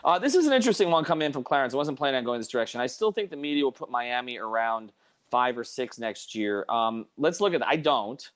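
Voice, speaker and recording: A man's voice: mid-range voice, animated, fast. A man hosting a casual sports panel discussion, repeating and restarting phrases for emphasis. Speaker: host.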